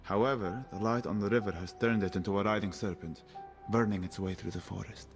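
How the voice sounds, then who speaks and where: An actor playing a wry, quietly poetic shepherd who guides a traveller in an audio adventure game, measured and understated. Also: male voice, fairly low pitch, steady tone, big-room sound, natural voice. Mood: reflective, poetic. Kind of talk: video game voice acting. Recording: faint music behind the voice.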